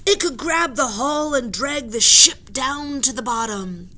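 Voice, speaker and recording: A woman's voice: sits high, animated, a little slow, sharp tone, pitched above the performer's own voice, full character voice. A woman reading aloud voices a stern, frightened male ship captain who barks orders. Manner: barking orders.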